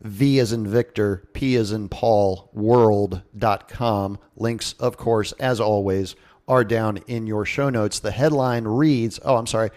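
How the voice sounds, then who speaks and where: A man's voice: on the low side, fairly even intonation, takes it slowly, a little mellow. A man hosting a radio show in a casual, conversational and jokey way, talking as if straight to his listeners.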